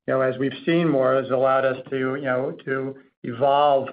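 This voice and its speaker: A man's voice: mid-range voice, flat delivery, normal speed. A man speaking as a company executive, explaining things in wordy corporate phrasing on an earnings call. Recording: thin, tinny phone-conference line.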